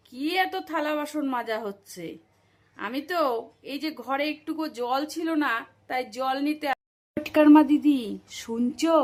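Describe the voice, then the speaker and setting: Woman as a high-voiced gossiping cartoon auntie. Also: close to an everyday voice. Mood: teasing, nosy. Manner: gossipy, drawn-out colloquial address. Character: nosy gossiping village auntie, scandal-hungry neighbour. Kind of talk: cartoon comedy dialogue.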